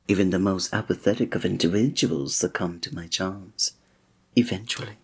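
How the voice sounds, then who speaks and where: A man's voice: on the low side, darkish tone, slightly rough, heavily acted. A man playing a flirtatious, courteous innkeeper in a fantasy village, speaking formally in a scripted ASMR roleplay addressed to the listener. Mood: teasing, earnest. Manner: formal, old-fashioned, teasing, suave diction.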